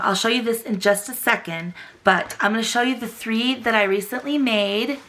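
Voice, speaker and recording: A young woman hosting a craft channel, chatty and gushing, her voice still a little rough after a cold. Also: mid-range voice, a bit bright. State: recovering from a cold, voice slightly rough. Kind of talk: casual tutorial chat.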